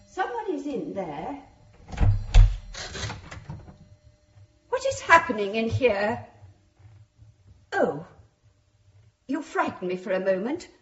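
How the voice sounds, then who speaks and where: Genteel, indignant lady of the house for period radio drama: high, highly expressive, slow, imperious. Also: dull audio, exaggerated voice. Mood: wary, uneasy. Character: genteel, stern mistress of the house, fearful yet indignant.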